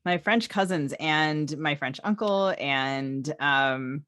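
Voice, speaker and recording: A woman's voice: low-pitched, slow pace, fairly bright tone. A woman co-hosting a podcast in a conversational way, with a wry, teasing manner. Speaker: podcast co-host.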